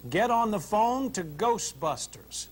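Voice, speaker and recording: A man's voice: high-pitched, lively intonation, slow. A man anchoring a local TV newscast in a light broadcast style. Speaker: TV news anchor.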